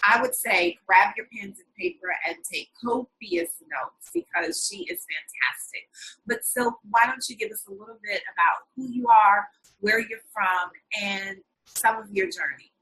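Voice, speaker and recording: A woman's voice: mid-range voice, lively intonation, unhurried, bright, forceful tone, low-quality recording. A woman hosting an interview, giving an earnest, enthusiastic introduction of her guest and drawing out words for emphasis. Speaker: interview host.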